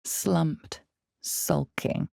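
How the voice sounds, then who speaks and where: A woman playing the brooding narrator of a dark fantasy game, speaking in solemn, deliberate, suspenseful scripted narration. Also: dry recording, studio quality. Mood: ominous, brooding.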